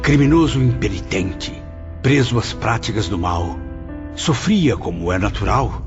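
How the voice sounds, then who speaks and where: Actor as low-voiced, bitter confessing sinner. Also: male voice. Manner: dramatic, confessional, formal. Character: bitter, wretched male sinner confessing his evil past. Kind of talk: dramatized audiobook monologue. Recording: muffled, under loud music.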